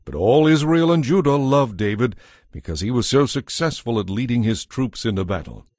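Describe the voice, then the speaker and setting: A man narrating a dramatized Bible story from a script in a measured, expressive storytelling manner. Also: medium pitch, no room sound, clean studio sound.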